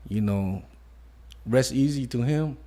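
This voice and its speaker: A man in his thirties with a mid-range voice speaks as an interview guest. His delivery is soft-spoken and reflective, and he falls back often on "you know" as he gathers his thoughts. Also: mid-range voice, takes it slowly. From Modesto, California. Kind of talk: casual conversation.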